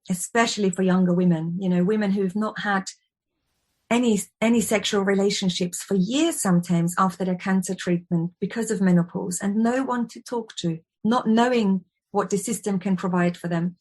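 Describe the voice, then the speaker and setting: A woman's voice: medium pitch, a little mellow. Woman, 40s, chatty wellness expert being interviewed, conversational. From Austria. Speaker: guest, wellbeing expert and yoga teacher.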